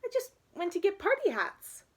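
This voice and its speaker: A woman's voice: extremely high pitch, very animated, moderate pace, a little mellow, voice pushed up. A woman voicing an eager, imaginative little girl in a storybook read-aloud. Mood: cheerful.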